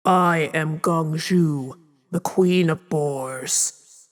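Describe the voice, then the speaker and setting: Guy voicing big stern boar queen goddess, audiobook, talks slow and regal, raised pitch, huge echo. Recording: long hall-like reverb on the voice.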